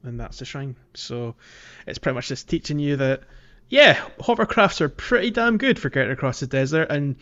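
A man's voice: a little high, sing-song, moderate pace, a bit bright, dull audio. A man co-hosting a casual gaming podcast, walking through game puzzles in a chatty way and laughing often. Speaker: podcast co-host.

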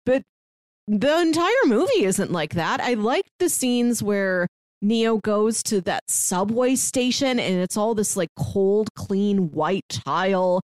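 A woman's voice: medium pitch, expressive, takes it slowly, a little mellow, no echo. A woman co-hosting a podcast, chatting in a wry, conversational way with laughing asides. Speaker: podcast co-host. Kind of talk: casual chat.

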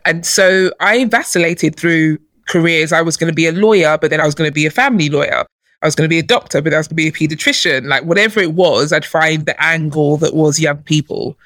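A woman's voice: sits low, fast talker, fairly bright tone, no echo. A woman from who was born in Nigeria, a chief executive telling her story as a guest on a podcast, laughing often. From born in Nigeria, raised in London. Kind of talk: casual podcast interview.

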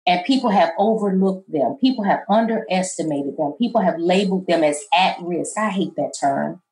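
A middle-aged woman with a soft, low voice, guesting on an interview and talking in a calm, measured way that turns emphatic at times. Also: echoey room, poor audio. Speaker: college president, guest. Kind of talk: interview conversation.

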